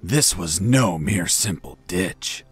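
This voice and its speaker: A man playing a gruff, cocky prisoner action hero in a video game, telling his story in a deadpan drawl. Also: deep voice, takes it slowly, a little hiss or hum. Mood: dramatic, deadpan.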